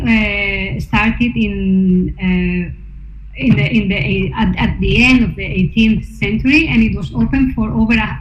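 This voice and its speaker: A woman artist presenting her research to slides, hesitant, with many fillers and restarts. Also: medium pitch. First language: not Norwegian. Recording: echoey room, dull sound, faint noise.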